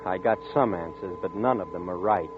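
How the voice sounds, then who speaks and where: A man's voice: low voice, fairly quick, music in the background, natural voice. Troubled young man, played by a man. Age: 20s. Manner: tense, troubled, insistent narration. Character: anxious young man haunted by a dream. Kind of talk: 1940s radio drama acting. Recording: tinny old radio broadcast with faint hiss.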